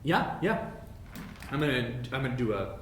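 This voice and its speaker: A man improvising tabletop game narration as game master, halting as he thinks up details. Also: lively intonation. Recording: echoey room with faint rumbling noise.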